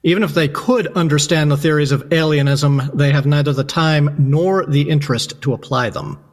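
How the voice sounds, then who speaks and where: A man's voice: fairly high pitch, fairly even intonation, normal speed, long reverb tail, lightly acted. An actor playing a cold, condescending male asylum doctor with formal, precise diction. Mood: clinical. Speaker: asylum alienist.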